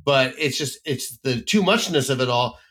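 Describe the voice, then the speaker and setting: A man's voice: medium pitch, expressive, moderate pace, fairly bright tone. A man co-hosting a casual film review chat, giving blunt and emphatic opinions. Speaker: film critic co-host.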